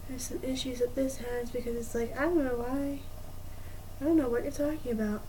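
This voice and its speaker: A woman's voice: sits high, fairly even intonation, a little fast, warm tone, slight background noise, poor audio. A woman chatting casually on a livestream as she works, muttering and thinking aloud to herself. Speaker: art streamer. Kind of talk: casual livestream chat while working.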